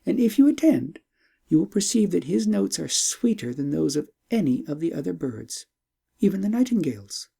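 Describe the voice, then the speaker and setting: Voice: mid-range voice, very animated, moderate pace, gravelly, warm tone, slightly rough, voice pushed up, exaggerated voice. A poised young princess, acted out by a narrator speaks with formal courtesy. The lines lilt upward and dip again, respectful yet proud, in classic storybook fashion. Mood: courteous, proud.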